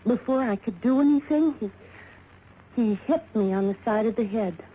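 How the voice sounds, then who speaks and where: Actress as young glamour model, shaken yet scornful; soft mellow voice, vintage radio hiss. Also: female voice, fairly high pitch, moderate pace, lo-fi sound, natural voice. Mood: shaken, subdued. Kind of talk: 1950s radio drama acting. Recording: faintly noisy vintage radio recording.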